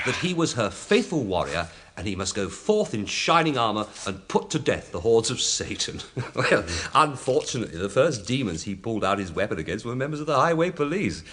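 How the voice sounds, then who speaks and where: An actor playing a timid, apologetic parish priest in a radio play, hesitant and rambling, easily flustered. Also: male voice, mid-range voice, big pitch swings, fairly quick, fairly bright tone. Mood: confiding. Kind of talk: radio drama acting.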